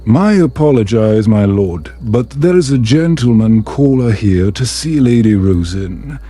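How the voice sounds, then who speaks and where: A man's voice: medium pitch, animated, measured pace, dark tone, faint music behind. A man voicing an awkward, deferential male servant in a bedtime fantasy story. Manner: awkward, apologetic.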